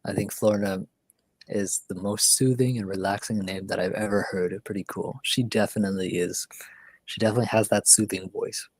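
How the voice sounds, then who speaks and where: A man's voice: on the low side, steady tone, a little fast, low-quality recording. A man hosting a livestream, chatting casually and rambling with lots of fillers, sometimes dropping to a near whisper. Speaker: livestream host. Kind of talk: casual chat.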